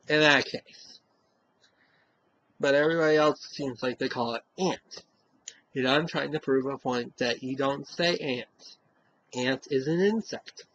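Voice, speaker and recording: Vlogger, male, Western Mass accent; slow, rambling delivery, dull lo-fi home recording. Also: medium pitch, fairly bright tone, slight background noise. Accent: Western Massachusetts. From Western Massachusetts. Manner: rambling, many "you know?" asides. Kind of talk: casual talk to camera.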